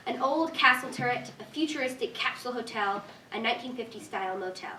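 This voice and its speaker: Casting: female stage-direction narrator, slightly high voice, live read with strong room echo. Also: quick pace, bright, forceful tone. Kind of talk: scripted narration at a staged reading. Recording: echoey room, distant mic, faint noise.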